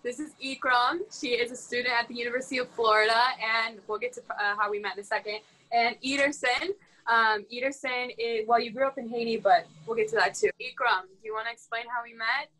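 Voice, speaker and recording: A woman's voice: a little high, a little fast, sharp tone, poor audio. A woman from Chicago hosting a casual round table on a video call, upbeat and encouraging with her guests. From Chicago, United States. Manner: upbeat and encouraging, frequent "mm-hmm" and laughs. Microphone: laptop on a video call.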